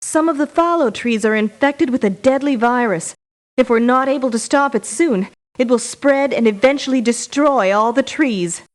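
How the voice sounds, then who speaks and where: Actress as dedicated female scientist, fairly high pitch, polite, serious-minded, formal wording, game briefing. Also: moderate pace, close to an everyday voice. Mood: concerned, serious. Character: earnest, polite woman scientist, devoted to her research. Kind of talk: scripted game voice acting, briefing.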